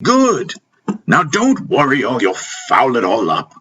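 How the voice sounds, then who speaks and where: A man's voice: medium pitch, huge pitch swings, measured pace, bright, forceful tone, slightly rough, voice pushed up, heavily acted. A man voicing an old spiritual master in an audiobook, playful and commanding, making emphatic teacherly pronouncements. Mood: teasing, instructive.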